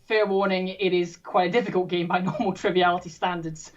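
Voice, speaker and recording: A woman hosting a trivia quiz, reading questions in a brisk, playful, self-mocking way. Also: lowish voice, steady tone, muffled recording. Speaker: quiz host.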